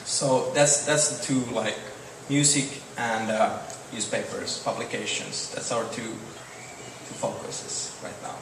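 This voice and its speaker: Young Finnish-accented man, halting Q&A answers, distant podium mic in a reverberant hall. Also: lowish voice, vocal fry. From Finland. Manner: halting, repeats words, short answers. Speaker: startup presenter. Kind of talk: Q&A after a product demo. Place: large dark event hall on stage. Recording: echoey hall, distant mic, faint noise.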